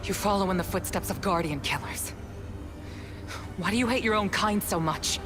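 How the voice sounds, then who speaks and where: A stern game hero, acted by a man, defiant and measured. The voice sits a little high and carries quiet reproach. Loud music runs underneath. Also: natural voice. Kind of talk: dramatic video game voice acting.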